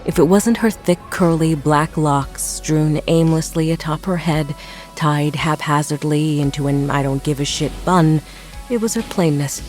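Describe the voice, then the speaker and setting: A woman's voice: low-pitched, unhurried, a little mellow. A woman giving a scripted narration of a horror audio drama. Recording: music and crowd noise behind narration. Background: music, crowd sounds.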